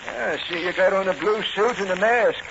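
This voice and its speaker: An actor playing a folksy, unhurried old sheriff and boatman, drawling and dry, in 1940s radio style. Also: high-pitched. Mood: folksy, curious. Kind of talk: 1940s radio serial acting. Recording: muffled, noisy old radio recording.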